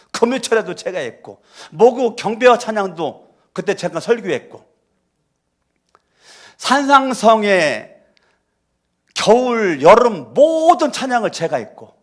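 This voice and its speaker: A man preaching a sermon as pastor in a church, moving between quiet storytelling and loud, fervent exhortation. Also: high voice. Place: church sanctuary.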